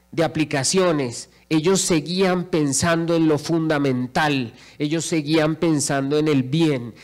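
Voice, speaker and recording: Colombian guy, middle-aged, kinda high voice, preaching away on a big stage w a handheld mic. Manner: rhetorical, repeats phrases for emphasis, paces the stage. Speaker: lecturer. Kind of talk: impassioned public speech. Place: large auditorium stage. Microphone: handheld microphone.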